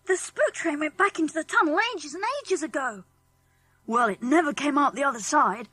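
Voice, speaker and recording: A woman's voice: high voice, normal speed, sharp tone. A woman voicing an eager, plucky English schoolboy in a children's audiobook, boyish and earnest, with a British accent. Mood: puzzled, excited. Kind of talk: children's audiobook character acting.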